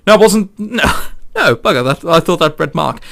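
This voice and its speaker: High-voiced, animated man doing game commentary. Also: normal speed, creaky. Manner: irreverent, sweary, excitable. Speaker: let's play host. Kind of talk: visual novel playthrough commentary, reading aloud. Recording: game music behind the voice.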